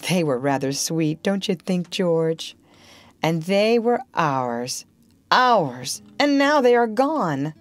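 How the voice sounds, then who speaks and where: A placid, gentle Edwardian mother from a storybook, as a woman reader plays her. She speaks softly and tenderly, with a low, calm voice. The recording is close and dry. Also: slow pace, dry recording. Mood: wistful.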